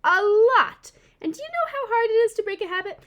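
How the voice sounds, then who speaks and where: A woman's voice: very high voice, very animated, a little fast, bright, forceful tone, highs cut off, voice pushed up, exaggerated voice. A woman voicing an indignant, sassy mother opossum in a children's storybook, arguing emphatically with rhetorical questions. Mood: defiant.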